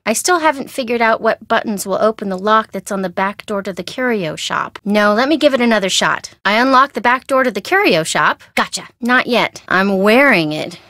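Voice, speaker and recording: An actress playing a perky, nervous young woman sidekick in a video game, with an American accent and a chatty, wry, expressive delivery. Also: mid-range voice, moderate pace, few pauses, no echo, clean studio sound. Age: young adult. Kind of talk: scripted video game dialogue, phone-call chatter.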